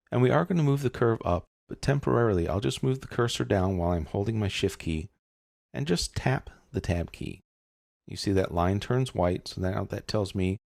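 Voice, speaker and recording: Low-pitched, gravelly man teaching step by step. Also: a little mellow, no echo, studio quality. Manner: casual, methodical explaining. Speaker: software tutorial instructor. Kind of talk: screencast tutorial narration, step-by-step.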